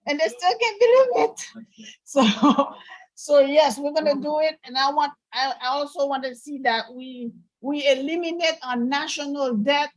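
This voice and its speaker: A woman from Haiti speaking in an excited, informal way as a participant in an online meeting. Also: high-pitched, moderate pace, dry recording, rough recording.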